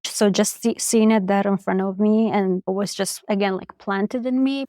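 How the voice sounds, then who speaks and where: Young iraqi-born woman, chaldean first language, soft and reflective, dry close mic. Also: mid-range voice, steady tone, normal speed, dry recording. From Iraq. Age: young adult. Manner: soft-spoken, reflective, frequent "like" and restarts. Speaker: guest, public health researcher. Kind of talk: interview conversation.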